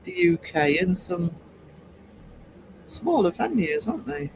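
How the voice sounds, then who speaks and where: A man's voice: high-pitched, big pitch swings, slow, noisy background. A man in his sixties hosting a livestream, chatting casually and reading viewer comments aloud, teasing and laughing often. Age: 60s. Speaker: livestream host.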